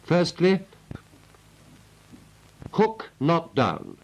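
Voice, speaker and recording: Lively 1940s British instructional narrator, male. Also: on the high side, drawn-out words, lo-fi sound. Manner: clipped, methodical, instructive. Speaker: flight instructor narrating a military training film. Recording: 1940s film soundtrack.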